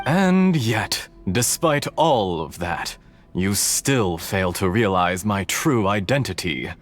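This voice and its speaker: Smug mad scientist villain, real low voice, drawls slow and swoops around, faint music behind. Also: male voice, a bit bright. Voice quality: smooth, coolly mocking. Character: cold scheming male scientist villain, disguised. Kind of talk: game cutscene voice acting.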